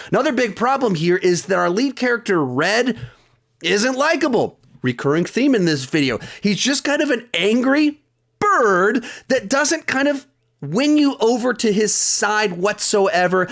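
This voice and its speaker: A man's voice: sits high, fairly bright tone. A man in his thirties giving a casual, opinionated ranking of movies as a reviewer and host, speaking candidly.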